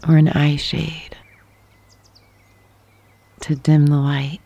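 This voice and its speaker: A woman guiding a yoga nidra meditation in a soft, breathy half-whisper, gently and calmly, with long pauses. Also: sits low. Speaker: meditation guide. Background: birdsong and nature sounds.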